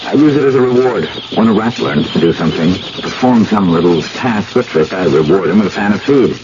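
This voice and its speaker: Earnest low-voiced scientist, noisy old radio. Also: male voice, lively intonation, brisk, highs cut off. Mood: explanatory. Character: intense male research scientist, devoted secret lover. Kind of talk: 1970s radio drama acting.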